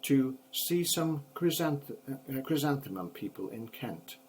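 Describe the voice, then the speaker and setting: A man's voice: medium pitch, animated, measured pace, darkish tone, a little hiss or hum, voice pushed up, lightly acted. An older man voicing a fussy, self-satisfied doctor in a children's storybook poem, with pompous pronouncements. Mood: confident prescribing.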